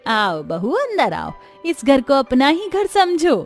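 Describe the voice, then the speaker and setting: A woman's voice: high-pitched, wildly swooping pitch, takes it slowly, soft background music, heavily acted. A woman voicing a fretful, affectionate middle-aged village mother-in-law in a Hindi cartoon story, theatrical and exclaiming. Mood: welcoming.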